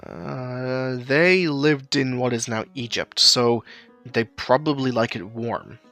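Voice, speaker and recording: Audio-drama role: blunt, cautious brainy young guy, forward bright tone, male narrator acting, faint music. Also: mid-range voice, slow. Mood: thoughtful. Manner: blunt, hesitant while recalling facts.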